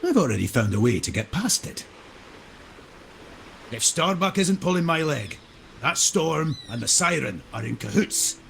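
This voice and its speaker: An actor playing a jovial, theatrical pirate ship captain in a video game, with a playful, roguish manner. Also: male voice, on the high side, very animated, unhurried, creaky, pauses often, a bit bright, slight background noise, exaggerated voice. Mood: breezy, confident. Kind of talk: video game character acting.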